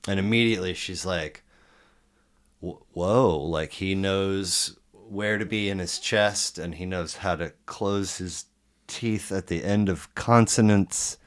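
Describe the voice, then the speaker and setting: A man hosting a podcast, talking casually and haltingly with many restarts and fillers. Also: low-pitched. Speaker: podcast host.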